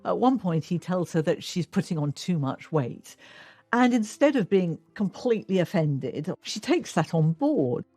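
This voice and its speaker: A woman's voice: fairly low pitch, sing-song, measured pace, mellow, no room sound. A woman giving wry, colloquial commentary as a documentary interviewee. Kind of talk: conversational commentary. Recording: music clearly behind the voice.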